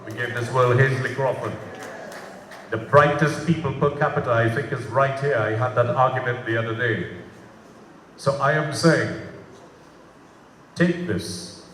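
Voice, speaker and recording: A man's voice: mid-range voice, a bit bright. A man with a Trinidadian accent, a native Bhojpuri speaker, gives an impassioned public speech, emphatic and repeating phrases for effect. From Trinidad and Tobago. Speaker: guest speaker at a community event. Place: community centre hall. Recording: echoey hall, distant mic, faint noise.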